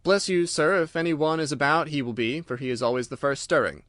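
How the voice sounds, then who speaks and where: A man's voice: fairly high pitch, fairly quick, a bit bright, no echo, studio quality, close to an everyday voice. A man voicing a wary but obliging stable groom, deferential and guarded, in a storybook reading. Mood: obliging, nervous.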